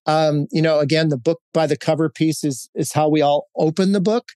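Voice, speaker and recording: A man's voice: a little high, steady tone, fairly quick, darkish tone, dry recording. Candid middle-aged man, dry sound. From Hawaii, United States. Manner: candid, storytelling, with frequent fillers and restarts. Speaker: guest, corporate executive. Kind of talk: reflective interview answers.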